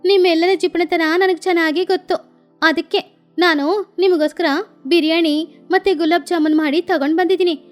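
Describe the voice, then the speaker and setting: A woman's voice: very high voice, fairly even intonation, moderate pace, faint music behind, voice pushed up. A woman voicing a kind, generous female bird with a cheerful, inviting manner in a children's story.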